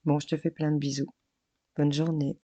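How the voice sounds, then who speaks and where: A woman doing a chatty daily tarot reading for each star sign, hoarse from losing her voice, hesitant and addressing viewers as friends, with her mic set a bit farther away. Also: low voice, little pitch movement, normal speed, mellow, slight background noise. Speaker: tarot card reader. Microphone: mic placed farther away.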